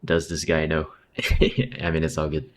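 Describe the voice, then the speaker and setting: Low-voiced slangy male streamer. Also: completely flat delivery, unhurried, slight background noise. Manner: slangy, swearing, chatty. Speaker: game streamer. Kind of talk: casual livestream commentary.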